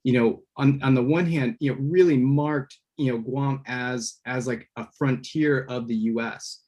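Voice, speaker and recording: Male moderator on a video call, halting and filler-heavy, mid-range pitch. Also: steady tone, darkish tone. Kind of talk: online panel moderation.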